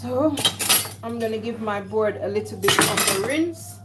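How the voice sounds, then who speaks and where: A woman's voice: mid-range voice, normal speed, very noisy. Jamaican lady cooking at home, chatty, narrating every step, pots and cutlery clanking behind. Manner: chatty, talks herself through each step. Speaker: home cook vlogger. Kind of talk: casual cooking vlog talk. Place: home kitchen. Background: clatter of dishes and cutlery.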